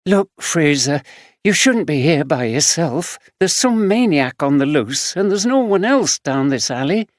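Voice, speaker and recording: A man's voice: very animated, unhurried, dry recording, studio quality. A man voicing a caring young homeless woman. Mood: concerned. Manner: higher, lighter put-on voice.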